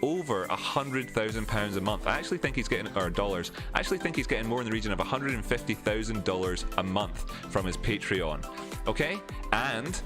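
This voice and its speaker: Scottish man talking frankly with soft music. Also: on the low side. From Scotland. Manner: earnest, repeats words for emphasis, frank about money. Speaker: crypto trading livestream host. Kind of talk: casual livestream chat reading viewer comments. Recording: faint music under the voice. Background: faint background music.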